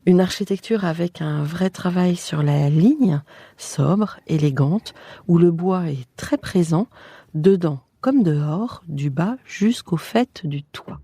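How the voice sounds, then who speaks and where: A woman hosting an architecture podcast interview, reading her introductions and questions in a poised, measured way. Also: on the low side, dark tone, slightly rough, dry recording. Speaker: podcast host.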